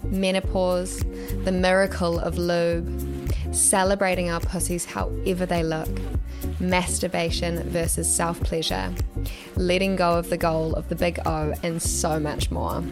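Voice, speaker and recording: A woman hosts a podcast, bubbly and keen as she introduces her guests. Her voice sits a little low, and music plays under it. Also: little pitch movement, measured pace. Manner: bubbly, enthusiastic. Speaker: podcast host. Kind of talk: scripted intro and casual interview.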